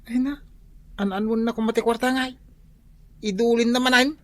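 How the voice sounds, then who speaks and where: Radio comedy role, female actor: blunt village sibling, Ilocano accent, fairly high voice, grumbly delivery. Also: steady tone, brisk, fairly bright tone, natural voice. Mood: incredulous.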